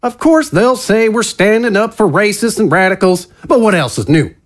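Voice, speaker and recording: Glad-handing political insider, high-pitched and very animated, folksy, smooth, for audio drama. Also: male voice, normal speed. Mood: brisk, confident. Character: slick, glad-handing male conservative politician.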